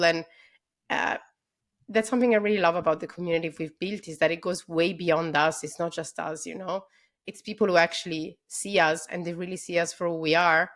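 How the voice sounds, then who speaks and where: An Italian woman in her thirties speaks as a guest on a podcast recorded over a video call. Her Italian accent is clear, and her talk is casual and enthusiastic, full of "um" and "like" as she goes. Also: on the low side. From Italy. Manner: enthusiastic, many fillers like "um" and "like". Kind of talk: casual interview conversation.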